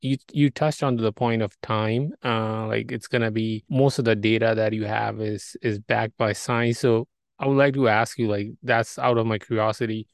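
A man interviewing a guest, asking halting questions full of fillers and restarts. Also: lowish voice, fairly even intonation, creaky, no echo.

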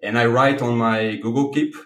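A man's voice: medium pitch, steady tone, normal speed, a bit bright. A man from Brazil, a native Portuguese speaker, talking playfully and with self-deprecating humour as a podcast guest. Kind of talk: casual chat. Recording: echoey room.

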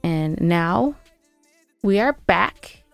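Smooth low-voiced American woman, dry close mic. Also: lively intonation, drawn-out words, dry recording, soft background music. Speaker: podcast co-host. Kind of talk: casual podcast banter and show updates. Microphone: close podcast microphone.

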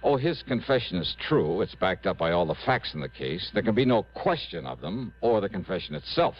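Low-voiced, animated radio detective. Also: male voice. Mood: intriguing. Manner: pointed, deliberate, dramatic delivery. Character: shrewd, confident hard-boiled male insurance investigator. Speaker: star of a detective radio drama. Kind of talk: 1950s-60s radio drama acting with first-person narration. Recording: muffled vintage radio broadcast, faint music.

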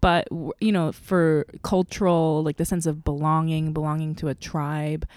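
A woman's voice: low-pitched, level tone, moderate pace, a little mellow, no room sound. A young adult woman born in Korea, whose first language was Korean, co-hosting a podcast in a chatty way that laughs often and trails off. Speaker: podcast co-host. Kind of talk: casual chat.

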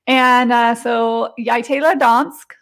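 A woman's voice: a little high, steady tone, slow pace, a bit bright. A middle-aged woman chatting casually as an interview guest, telling personal stories. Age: 40s or 50s.

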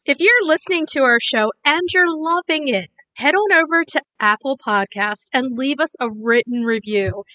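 A woman's voice: high voice, animated, normal speed, hardly any pauses, sharp tone, low-quality recording. A woman co-hosting a podcast in casual chat, upbeat and chatty, slightly congested while recovering from illness. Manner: chatty, upbeat, laughs easily. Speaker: podcast co-host. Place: small home studio, at a table.

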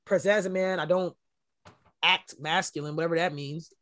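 Woman w a deep voice, podcast cohost, chatty and open, tells stories with a lot of life. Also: moderate pace. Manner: candid, animated storytelling with laughs. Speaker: podcast co-host. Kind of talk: casual chat.

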